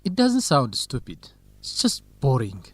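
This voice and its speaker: Frank young man, animated. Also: medium pitch, a little slow, husky, no echo, full character voice. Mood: frank, conciliatory. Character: Khalid, frank, easygoing young male university researcher. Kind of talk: radio drama acting.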